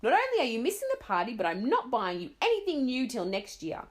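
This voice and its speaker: A woman voicing a stern, impatient mother who shouts and scolds. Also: a little high, wildly swooping pitch, fast talker, voice pushed up. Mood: angry shouting.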